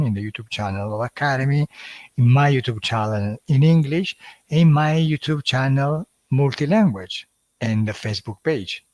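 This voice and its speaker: A man with an Italian accent hosting a live stream, talking in a halting way with many fillers and restarts. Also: medium pitch, a little mellow, no echo. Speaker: hypnosis channel host. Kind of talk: casual livestream talk.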